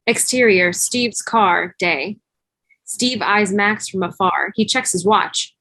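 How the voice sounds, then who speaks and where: Brief: woman narrator, mid-pitched, reading scene headings and action, dry video-call sound. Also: slow, sharp tone, no room sound, poor audio. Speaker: stage-direction narrator. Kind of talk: scripted narration.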